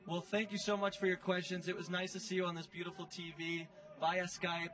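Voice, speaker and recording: Jokey, high-voiced male show host. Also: a little fast, recorded from a distance. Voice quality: slangy, informal delivery. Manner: excitable, jokey, teasing. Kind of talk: casual chatty sports post-game interviewing. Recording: off-mic, music playing behind. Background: music.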